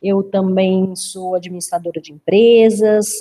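A Brazilian woman in her forties with a drawling inland accent, presenting an online training webinar in a measured, explanatory way. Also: mid-range voice, fairly even intonation, dark tone. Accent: drawling inland Brazilian Portuguese. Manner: explanatory, measured, frequent "né?" tags.

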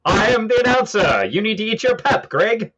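A man playing a bombastic, excitable 1940s radio announcer selling cereal with exaggerated showmanship. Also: moderate pace, breathy edge, lo-fi sound, exaggerated voice. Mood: hammy, upbeat. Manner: exaggerated, booming showman delivery.

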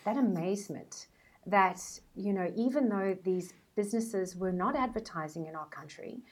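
Husky South African woman, chatty. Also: mid-range voice, normal speed. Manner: chatty, storytelling. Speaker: guest, brand strategist. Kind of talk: podcast interview.

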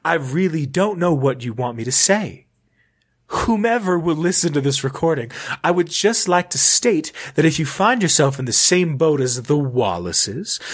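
A man voicing a genteel, self-important mid-century housewife held captive, cheerfully polite and pompous, in an acted diary message. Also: fairly high pitch. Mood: polite, apologetic. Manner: pompous, genteel, drawn-out emphasis. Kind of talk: acting out a recorded diary message.

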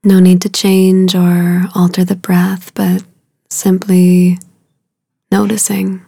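Slow, soft woman guiding meditation. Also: on the low side, monotone, gravelly, dry recording, clean studio sound. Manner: soothing, unhurried, with long pauses between short phrases. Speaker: meditation guide. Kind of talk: guided meditation.

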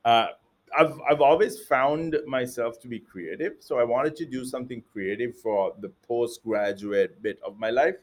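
A man's voice: medium pitch, normal speed. A man from Sri Lanka, an entrepreneur guest, answering interview questions casually with many fillers and restarts.

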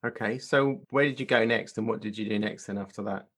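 A man hosting a faith podcast, reading a scripted intro and then chatting with a guest in an earnest, measured way. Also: lowish voice, slightly rough. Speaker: podcast host.

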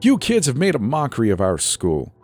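A scornful high school principal, a scowling woman acted out by a man, scolds sternly in a voice lifted well up. The delivery swings widely in pitch, with music behind it, in a dramatized story. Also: wildly swooping pitch, brisk, a little mellow, no room sound.

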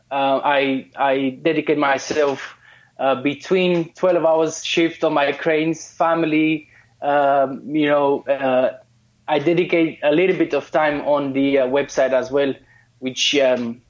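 A man's voice: on the high side, little pitch movement, unhurried. A man from Romania, a guest in a casual interview, who talks hesitantly with many fillers and restarts. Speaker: guest, course student. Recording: muffled lo-fi call audio.

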